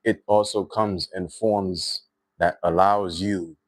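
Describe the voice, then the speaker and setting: A man with a Trinidadian accent hosting a livestream, talking in a reflective, unhurried way with lots of "you know" and "right". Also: low-pitched, steady tone, dry recording. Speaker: livestream host. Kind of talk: spiritual livestream monologue.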